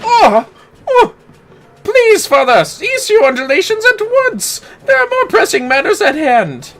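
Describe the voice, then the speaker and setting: A man voicing an earnest, melodramatic gentleman adventurer from a game in a florid, formal manner. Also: very high-pitched, huge pitch swings, unhurried, faint music behind, voice pushed up, full character voice. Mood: pleading.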